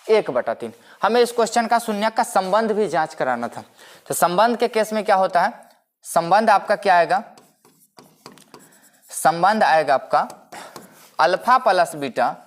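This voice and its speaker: Man teaching maths step by step. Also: high voice. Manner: explanatory, repeats himself with rhetorical questions. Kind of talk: step-by-step classroom-style lecture.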